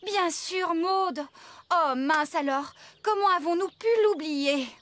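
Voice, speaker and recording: Une comédienne qui joue une fermière enjouée et attentionnée, au ton expressif et amical, dans un dessin animé pour enfants. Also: female voice, very high voice, takes it slowly, a bit bright, exaggerated voice. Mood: concerned. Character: cheerful, caring adult woman farmer. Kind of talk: children's cartoon dub acting.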